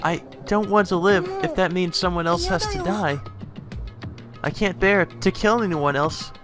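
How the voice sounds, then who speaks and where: A timid, guilt-ridden student from a video game, voiced by a man. The voice is lifted high and soft, halting and pleading, with music playing underneath. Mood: anguished.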